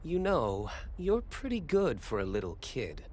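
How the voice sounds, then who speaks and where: Cocky, wildly swooping bird warrior. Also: male voice, medium pitch, huge pitch swings, a little slow, darkish tone. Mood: smug, amused. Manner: smug, teasing. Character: proud, cocky adult male bird warrior. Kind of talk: English video game cutscene acting.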